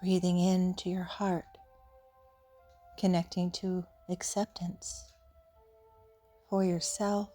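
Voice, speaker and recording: A woman leading an unscripted guided meditation in a soothing, gentle, unhurried way with long pauses. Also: lowish voice, little pitch movement. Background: soft background music.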